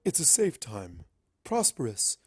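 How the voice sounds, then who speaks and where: A man's voice: mid-range voice, huge pitch swings, unhurried, a little mellow, slightly rough, no echo, studio quality, full character voice. A man voicing a coy, regal female pony princess in a fan fiction reading. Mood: defensive, earnest.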